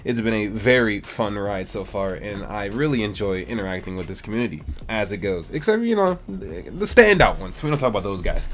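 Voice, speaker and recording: A man's voice: lowish voice, expressive, brisk, hardly any pauses, fairly bright tone. A man in his twenties casually answering viewer questions about a mobile game, rambling and slangy. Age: 20s. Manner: laid-back, rambling, slangy. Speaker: gaming YouTuber. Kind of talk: casual Q&A chat to camera.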